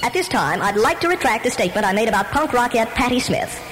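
A woman's voice: fairly high pitch, big pitch swings, quick pace, a bit bright, big-room sound, a little hiss or hum. Dry, mock-serious woman on old radio. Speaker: radio comedy feature reader. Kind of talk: deadpan scripted comedy bit.

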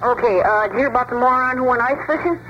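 A woman's voice: a little high, quick pace, slight background noise. A woman phoning in to a radio show to tell riddles, heard over a telephone line. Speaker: phone-in caller. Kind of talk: telling riddles on a radio call-in.

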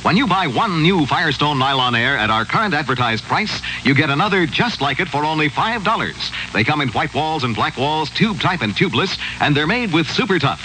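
An American man reading a 1960s radio commercial as a polished, emphatic sales pitch. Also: very animated, fairly quick, few pauses, bright, forceful tone, poor audio. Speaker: commercial announcer. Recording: old radio, faint noise.

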